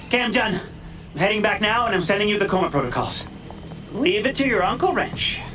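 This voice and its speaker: A cocky masked game vigilante with a wildly animated, theatrical delivery. He teases and wisecracks crudely, sliding into playful mock voices, over loud music. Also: high voice, fairly quick. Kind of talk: video game voice acting.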